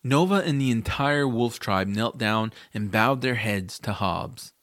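A man narrates a family fantasy tale in the first person, as a scripted audiobook. His voice sits at a middle pitch, and the recording is close and dry. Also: lively intonation, a little slow, fairly bright tone, no room sound.

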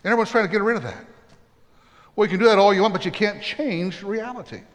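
High-voiced preaching man in a reverberant hall. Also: quick pace. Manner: earnest preaching with folksy humorous asides. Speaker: pastor. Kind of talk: sermon.

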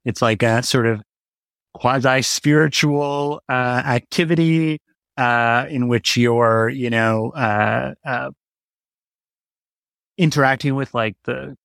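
Halting, reflective man in a dry recording. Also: medium pitch, vocal fry, a bit bright, no room sound. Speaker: interview guest, playwright. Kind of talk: conversational, reflective interview answer.

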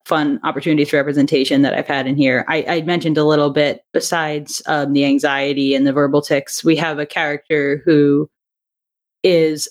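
A woman speaking as an interview guest, a creator explaining her work in a casual, talkative, loosely structured way. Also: low voice. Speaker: interview guest, audio drama writer and director.

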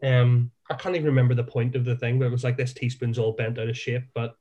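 A man's voice: mid-range voice, flat delivery, quick pace, dark tone, dull audio. A man with a Northern Irish accent, a minister co-hosting a podcast, talking in a reflective, self-deprecating and rambling way. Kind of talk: casual podcast chat.

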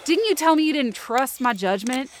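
A woman's voice: sits high, expressive, moderate pace. A young actress playing a bitter, foul-mouthed working-class woman from the mountains in an audio drama, confrontational and sarcastic. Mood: bitter, accusing. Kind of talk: heated audio drama dialogue.

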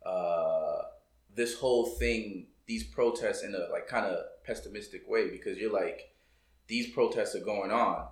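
A man's voice: lowish voice, a little slow. Reflective man in a roomy space. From Boston, United States. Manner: loose and reflective, many "you know" and "like" fillers. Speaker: podcast guest. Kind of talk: casual podcast discussion. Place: coworking room.

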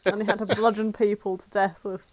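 A woman's voice: medium pitch, level tone, a little fast, a little mellow. A woman co-hosting a casual, comic chat with friends. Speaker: co-host.

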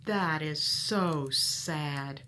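Bright-voiced actress as a kindly biblical mother. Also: low-pitched, very slow, slight background noise, exaggerated voice. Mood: sympathetic. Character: kindly, concerned wife and mother of biblical times. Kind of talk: full-cast audio drama acting.

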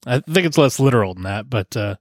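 A man's voice: mid-range voice, lively intonation, no echo, clean studio sound. A man co-hosting a casual podcast, joking around and trailing off mid-thought. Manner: joking, quick asides, trailing off. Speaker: podcast co-host. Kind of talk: casual banter.

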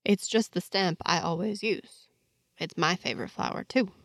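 A woman's voice: lowish voice, moderate pace, vocal fry, dry recording, studio quality. A woman voicing a mischievous, easygoing male pickpocket with a nonchalant, teasing manner in an audio story. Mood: matter-of-fact. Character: mischievous, easygoing man, a roguish pickpocket informant.

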